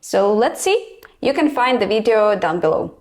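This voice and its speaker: A woman's voice: medium pitch, very animated, moderate pace, darkish tone. A woman with a Russian accent hosts a reaction video, talking in a chatty, hesitant way with many fillers. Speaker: reaction channel host. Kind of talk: casual reaction commentary.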